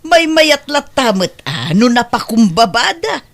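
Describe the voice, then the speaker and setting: A woman playing a meddling, self-righteous senior woman in a comedic drama, nagging with drawn-out exclamations. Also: on the high side, very animated. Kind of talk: comedic radio-style drama acting.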